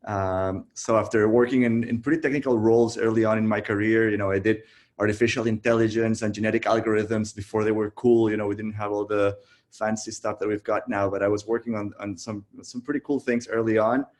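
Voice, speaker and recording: A low-pitched man from Costa Rica speaks in an even, flat tone. He tells his story in a relaxed way, full of fillers and restarts, over a video call. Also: a little fast. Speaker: guest, tech founder. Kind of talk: casual virtual interview.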